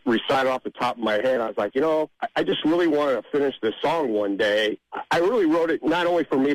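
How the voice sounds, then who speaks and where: Mid-pitched mature man telling anecdotes. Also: no room sound, low-quality recording. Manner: informal, rambling storytelling. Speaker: interview guest, amateur songwriter. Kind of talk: casual anecdotal interview talk.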